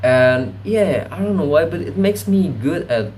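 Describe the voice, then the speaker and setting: A man's voice: on the high side, animated, a little slow, a little mellow. Young guy vlogging, rambly, keeps correcting himself, cusses a lot, faint hum behind. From Indonesia. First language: Indonesian. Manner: rambling, self-correcting, swears freely. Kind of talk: casual rambling monologue.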